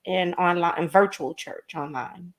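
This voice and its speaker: A woman's voice: lowish voice, a little slow. A woman co-hosting a talk show, chatting casually and animatedly about her day. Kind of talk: casual chat.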